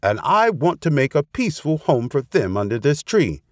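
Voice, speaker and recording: A man's voice: a little high, very animated, moderate pace, dry recording, studio quality, voice pushed up. A man voicing a wily, haughty female fox who bullies and schemes, in a storybook tale. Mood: threatening. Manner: haughty, bullying. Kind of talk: storybook character voice.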